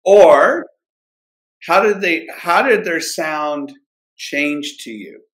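A man hosting a discussion, putting questions to his guests in a deliberate, phrase-by-phrase way. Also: on the high side, fairly bright tone, rough recording. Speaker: podcast host. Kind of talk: conversational interviewing.

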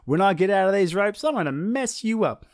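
A man voicing an angry, grumpy male sun god who shouts petulant, whiny complaints. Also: high voice, lively intonation, a little fast, vocal fry, no echo, clean studio sound, pitched above the performer's own voice. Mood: outraged.

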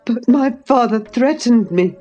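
A woman's voice: a little high, slow, a little mellow, highs cut off, faint music behind, pitched above the performer's own voice. A woman voicing a cowardly, self-pitying boy in a storybook, pleading in a whimpering, overwrought way. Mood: fearful, gasping.

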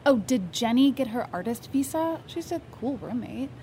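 A woman's voice: fairly high pitch, animated, moderate pace, over music. A woman playing a curious, teasing young woman in an audio drama, casual and playful.

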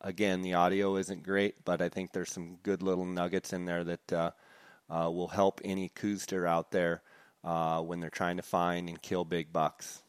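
A low, smooth male voice hosting his podcast in a relaxed, chatty way. He talks directly to his listeners as if among friends. His pitch stays level and flat, and the sound is dry and close. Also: moderate pace, no room sound. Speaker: podcast host. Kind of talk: casual podcast intro.

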